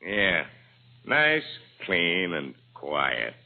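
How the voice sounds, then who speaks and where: An actor playing a cold-blooded tough crook who gives clipped, commanding orders in underworld slang, in 1940s radio crime drama style. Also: male voice, sits low, huge pitch swings, very slow, creaky, full character voice. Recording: muffled, noisy old radio recording.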